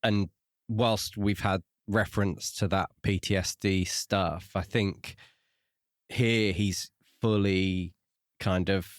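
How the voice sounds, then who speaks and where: A man co-hosting a podcast, explaining a book in a measured, conversational way. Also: low voice, steady tone, dry recording, studio quality. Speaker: podcast co-host. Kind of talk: casual book discussion.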